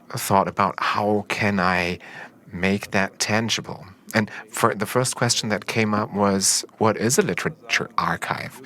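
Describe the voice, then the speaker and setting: A man live-interpreting a panel discussion, speaking in halting, short fragments of phrases. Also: on the low side, bright, forceful tone. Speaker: simultaneous interpreter.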